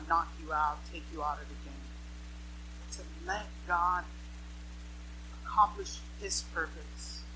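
A woman's voice: on the low side, background noise. A woman in her mid-thirties preaching a sermon to a congregation, with emphatic repeated phrases and rhetorical questions.

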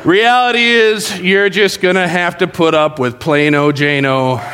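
Guy pastor joking around with his church, kinda high voice, big echoey hall. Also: a little slow, sharp tone. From South America. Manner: jokey, conversational, addresses congregation directly. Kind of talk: sermon. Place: church sanctuary.

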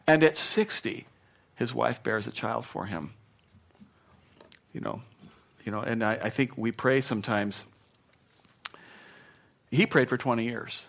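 Middle-aged pastor guy preaching, chatty and animated, goes soft and earnest sometimes, mid pitch. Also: measured pace, vocal fry, long pauses. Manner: conversational and animated, with jokes and acted quotes; softens to a gentle, earnest tone when encouraging. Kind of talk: sermon. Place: church.

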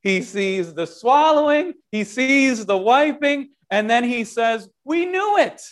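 A man preaching an Easter sermon as pastor, animated, moving between loud proclamation and quiet, self-deprecating conversational asides. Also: very high voice, slow pace. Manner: animated preaching with wide swings in loudness.

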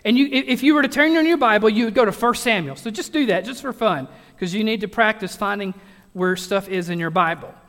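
A man's voice: sits high, brisk, a bit bright. A man preaching a casual, joking sermon to a congregation, chatty and self-deprecating, with frequent restarts. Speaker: pastor. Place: church sanctuary. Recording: long hall reverb.

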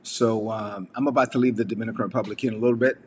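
A man's voice: fairly low pitch, steady tone, quick pace, husky, in a large hall. A man from the United States giving a casual devotional message, talking conversationally with frequent fillers and rhetorical questions. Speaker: preacher.

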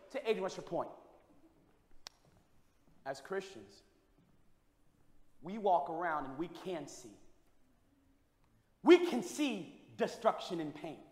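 A man preaching a sermon with a loud voice, moving between quiet storytelling and shouted preaching. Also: very high voice. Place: church hall. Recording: echoey hall with long reverb.

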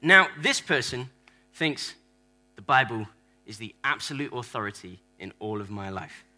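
A man's voice: medium pitch, expressive, moderate pace, bright, forceful tone, soft background music. A man preaching a playful sermon in a church, acting out different church members and joking with the congregation. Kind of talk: sermon with audience props. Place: church hall.

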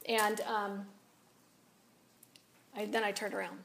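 A woman with a raspy voice giving an animated, confiding storytelling talk to a women's audience. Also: medium pitch, slow, slight background noise. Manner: animated, confiding, rises and drops a lot. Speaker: speaker at a women's event.

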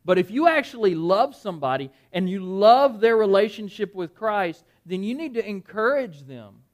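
A man preaches in a high, light voice. He keeps it conversational, firing questions at his listeners and doubling back on words as he goes. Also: big pitch swings, normal speed. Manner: conversational, quizzes the audience, repeats words. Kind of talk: interactive Bible-study sermon. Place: dim room with a live audience.

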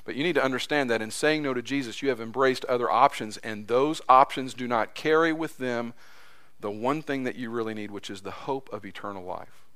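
A man preaching a sermon in a church, impassioned, his voice climbing high as he acts out quotes, then dropping low and soft. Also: brisk. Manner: impassioned, voice rising high when acting out quotes. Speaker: pastor.

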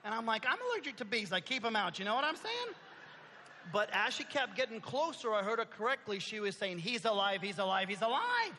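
An excitable, rambling apostle-fisherman who thinks out loud and bounces from thought to thought, as a man plays him in a comic stage skit. His voice sits high and bright and swings widely in pitch. It rings through a reverberant hall over faint background noise. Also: fairly quick, heavily acted. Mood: chatty. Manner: rambling, talks to himself out loud, playful.

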